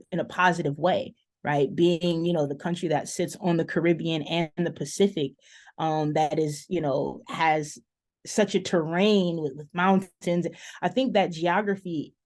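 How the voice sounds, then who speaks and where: Low-voiced woman speaking thoughtfully on a panel. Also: a little mellow. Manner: thoughtful, many "um" pauses, stretches key words. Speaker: panelist, sociology professor. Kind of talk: panel discussion remarks over video call.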